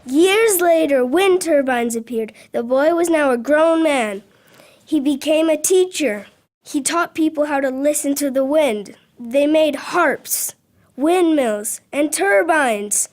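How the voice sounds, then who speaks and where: A child of about nine or ten narrating a radio drama from a script, measured and phrase by phrase. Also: high voice, expressive. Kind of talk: scripted narration, read-aloud storytelling.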